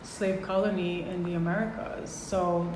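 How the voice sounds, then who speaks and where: Guest, Dominican woman, lowish voice, reflective and measured, echoey room with steady noise behind. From Dominican Republic. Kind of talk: casual interview.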